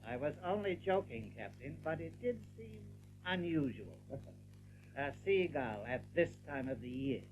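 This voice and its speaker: An actor playing a menacing rabbit general in an audio drama, measured, insinuating and commanding. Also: male voice, on the high side, animated, faint music behind. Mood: silky menace. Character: suave, menacing male rabbit general, probing and controlled. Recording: slightly muffled tape with background noise.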